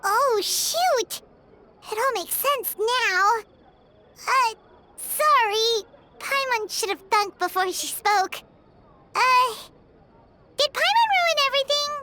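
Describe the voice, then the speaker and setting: A woman voicing a tiny, blunt, excitable childlike fairy companion in a video game, who talks about herself by name. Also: extremely high pitch, slow pace, pauses often, a little hiss or hum, full character voice. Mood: sheepish. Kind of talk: cartoonish video game voice acting.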